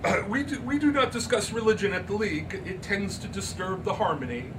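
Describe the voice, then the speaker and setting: A man's voice: high voice, expressive, a little fast, distant mic, background noise, pitched above the performer's own voice. A man voicing an elegant, haughty, flirtatious woman in a grandiose, formal, sonorous manner. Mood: flustered. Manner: grandiose, formal, precise diction.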